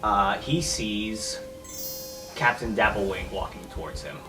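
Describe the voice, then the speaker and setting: Guy player, low voice, kinda far from the mic, music under. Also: measured pace. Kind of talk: tabletop game play.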